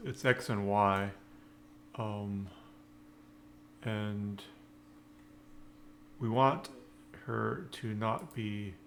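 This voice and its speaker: A man giving a casual coding screencast, thinking aloud in halting, unscripted phrases. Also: lowish voice, creaky, fairly bright tone, slight background noise. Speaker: programming tutorial presenter.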